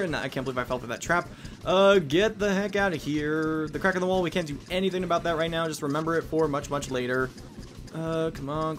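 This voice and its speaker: A man hosting a let's play, giving chatty, self-mocking commentary with quick asides. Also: on the high side, fairly bright tone, slight background noise. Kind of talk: casual game commentary.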